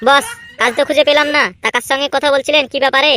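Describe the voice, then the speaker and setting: A woman performs an idle, boastful young village lad for a comedy cartoon. The voice is fairly high, bright and quick, with an argumentative, bantering delivery. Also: flat delivery, a little hiss or hum. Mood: questioning. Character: cocky, idle young male village club thugs. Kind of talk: exaggerated comedy cartoon dialogue.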